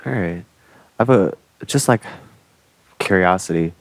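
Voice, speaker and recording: A man hosting a casual interview, asking relaxed, curious questions. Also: low voice, unhurried, no room sound. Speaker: podcast host.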